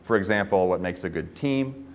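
A man in his forties opening a conference panel as moderator, speaking in a measured, articulate way on a lapel mic. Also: low voice, animated. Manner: measured, articulate, with frequent "uh" fillers. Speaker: moderator, professor. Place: conference stage.

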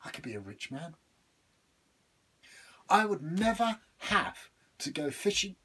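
A man voicing a plain, stubborn fisherman who talks in a calm, dry way. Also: on the high side, takes it slowly, slight background noise, pitched above the performer's own voice, exaggerated voice. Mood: thoughtful.

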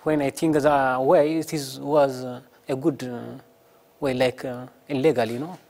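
Young man from Sudan, halting English. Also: mid-range voice, steady tone, gravelly, a little mellow. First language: not English. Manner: halting non-native English, short answers. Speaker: studio guest. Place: TV studio.

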